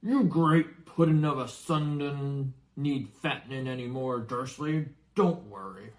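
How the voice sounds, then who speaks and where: A man performs an enormous, friendly giant gamekeeper for a storybook. He is gruff, blunt and hearty, booming well above an ordinary talking level in homely rustic speech. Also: unhurried, a little mellow, lightly acted. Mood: mocking. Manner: booming, rustic colloquial talk. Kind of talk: storybook character voice.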